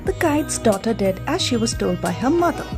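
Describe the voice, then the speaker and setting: A woman narrating a scripted folktale as a storyteller, in an expressive, dramatic manner. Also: on the high side, normal speed. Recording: music behind the narration.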